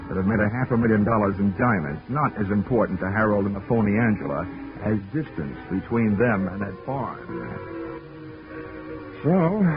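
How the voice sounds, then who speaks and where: A man's voice: on the low side, natural voice. A man playing a wry, hard-boiled private investigator in sardonic 1940s radio style. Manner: sardonic, wisecracking. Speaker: narrating lead of a detective radio serial. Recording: tinny, band-limited 1940s radio recording with music.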